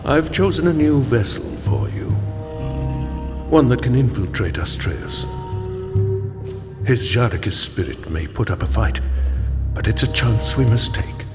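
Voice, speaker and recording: An actor playing a brooding, devout schemer, delivering a grave and theatrical monologue in an audio drama. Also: male voice, mid-range voice, lively intonation, unhurried, long pauses, lightly acted. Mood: commanding. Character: solemn, devout, brooding schemer in a prayer temple. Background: music.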